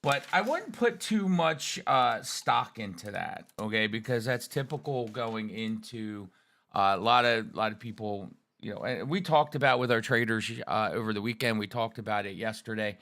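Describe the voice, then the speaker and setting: A man talks through a market week on a live trading stream, hoarse and raspy from a cold. His voice is bright and forceful, and he talks with loose energy and plenty of fillers. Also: lowish voice, normal speed. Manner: energetic, folksy, lots of fillers. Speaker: trading show guest. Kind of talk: live market commentary chat.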